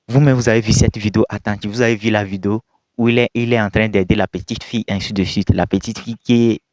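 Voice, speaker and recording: A man's voice: lowish voice, darkish tone, no room sound. A young man, not a native French speaker, casually commenting to his viewers in an emphatic, repetitive way with scolding rhetorical questions. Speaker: video commentator.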